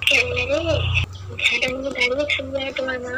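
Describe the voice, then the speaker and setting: A woman's voice: sits high, level tone, quick pace. A young woman chatting casually on the phone in a curt, teasing, dismissive way. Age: young adult. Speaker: prank call recipient. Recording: noisy phone call with clicks.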